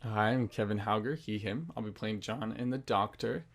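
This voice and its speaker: A man's voice: fairly low pitch, moderate pace, creaky. A man who works as an actor, introducing himself in casual chat.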